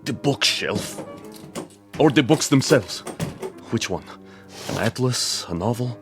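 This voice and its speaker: An actor playing a proud, trapped military major in a radio drama, muttering tensely to himself in short, halting bursts. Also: male voice, mid-range voice, lively intonation. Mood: anxious deduction. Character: trapped Soviet major, tense, proud, desperately hunting for danger. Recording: music behind the voice.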